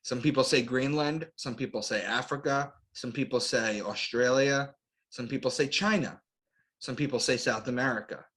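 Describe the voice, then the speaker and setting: A man's voice: mid-range voice, normal speed, fairly bright tone. A man giving an explanatory lecture as an interview guest, in short declarative phrases with rhetorical questions. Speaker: guest author and astrotheologist.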